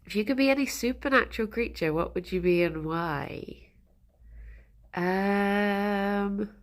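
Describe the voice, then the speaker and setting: A woman's voice: mid-range voice, slow pace. A woman with a stuffed-up nose, chatting casually with her live chat, greeting viewers by name and giggling often. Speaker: streamer.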